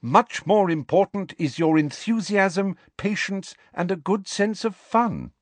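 Storybook role: bookish teenage girl, lightly raised pitch, earnest, absorbed, matter-of-fact, read by a man. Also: expressive, unhurried, no echo. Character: earnest, studious, absent-minded teenage girl.

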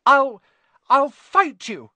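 Man as a high-voiced, stubborn timid schoolboy. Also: lively intonation, extremely slow, sharp tone, no room sound, exaggerated voice. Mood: defiant. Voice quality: high, strained. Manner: earnest, indignant. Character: timid but stubborn schoolboy bracing to stand up.

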